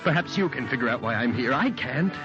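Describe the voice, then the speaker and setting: Guy acting desperate young american prisoner, old radio play, halting and pleading, muffled recording, music really loud under him. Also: medium pitch, expressive, close to an everyday voice. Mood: anguished protest. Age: 20s. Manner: halting, emotional, repeats himself. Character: anguished young condemned man pleading his innocence. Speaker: radio actor. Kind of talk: 1940s radio drama acting, first-person narration.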